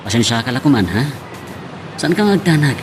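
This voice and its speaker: A man's voice: medium pitch, very animated, a little fast, lightly acted. Man acting evasive young Filipino boyfriend, mid-range voice, hesitant, radio drama, background music.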